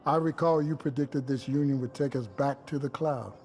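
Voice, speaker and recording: A man's voice: mid-range voice, normal speed, darkish tone, faint music behind, lightly acted. An actor playing a wary, disapproving male ship captain in video game dialogue, stern and cautioning.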